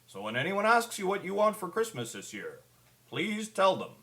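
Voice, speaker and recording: A man's voice: high-pitched, sing-song, moderate pace, sharp tone, heavily acted. A man playing a kindly, concerned old Santa Claus, giving an earnest, pleading scripted public address. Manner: earnest, pleading storyteller delivery.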